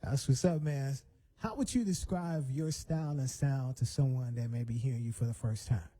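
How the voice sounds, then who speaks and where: A man's voice: mid-range voice, moderate pace, mellow. A man hosting a radio interview, asking questions in a casual, friendly way. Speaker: radio host. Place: radio studio.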